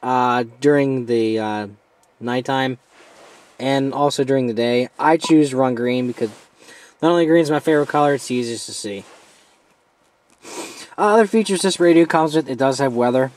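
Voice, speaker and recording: A man giving a casual, hands-on product review as an amateur, informal and halting as he steps through features. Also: medium pitch, darkish tone.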